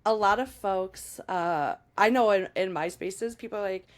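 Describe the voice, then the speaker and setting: A woman hosting a podcast, her voice raspy and hoarse from a cold. She talks in a chatty, emphatic way and laughs easily, at a medium pitch. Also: measured pace. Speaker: podcast host and sex educator. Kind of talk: casual podcast conversation.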